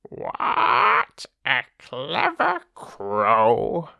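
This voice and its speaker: A clever storybook crow, proud of himself and squawking. The voice jumps up high and stretches the words out very slowly, with a dry sound. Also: male voice, wildly swooping pitch, vocal fry, no echo, lo-fi sound, exaggerated voice. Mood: squawking, triumphant.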